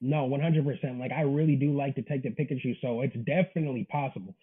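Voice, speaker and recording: A man's voice: mid-range voice, fast, breathy edge. A man in his early twenties chatting casually as an interview guest, earnest, emphatic and slangy, over tinny call audio. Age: early 20s. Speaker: interview guest, manga creator. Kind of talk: casual chat.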